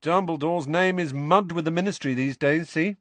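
A man's voice: a little high, normal speed, no echo, voice pushed up, close to an everyday voice. A man voicing cheeky, irreverent teenage twin brothers who gossip, in an audiobook. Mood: gossipy.

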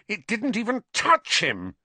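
A man's voice: mid-range voice, very animated, normal speed, a bit bright, no room sound, voice pushed up. A man voicing an earnest, indignant, hot-tempered young boy hero in an audiobook. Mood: angry. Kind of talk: audiobook character voice.

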